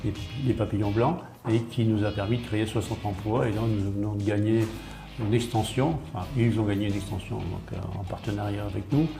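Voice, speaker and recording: A man's voice: on the low side, fairly even intonation, a little fast, creaky. A man explaining things as a town mayor in an interview, matter-of-fact, listing points and often rephrasing himself. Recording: roomy, echoey sound under guitar music.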